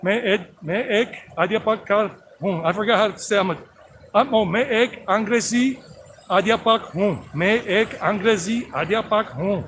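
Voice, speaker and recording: Rambling middle-aged man, high voice. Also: moderate pace, a bit bright, slight background noise. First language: English. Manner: rambling, repetitive, chatty. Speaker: vlogger. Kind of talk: walking live stream vlog. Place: outdoors on a walk by a creek. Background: flowing creek.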